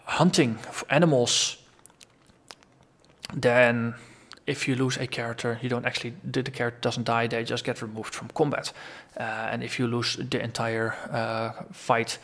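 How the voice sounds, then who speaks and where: A man's voice: mid-range voice, flat delivery, measured pace, fairly bright tone. A man hosting a gaming channel, talking through a long casual solo vlog, rambling and thinking aloud as his voice tires. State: voice tiring after a long recording.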